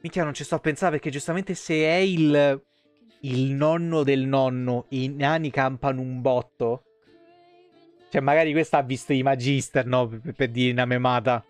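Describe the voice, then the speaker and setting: A man's voice: medium pitch, fairly even intonation, moderate pace, no room sound. A man, reading game lore aloud and breaking off for informal asides as a live streamer. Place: indoor home streaming setup. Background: soft plucked guitar game music.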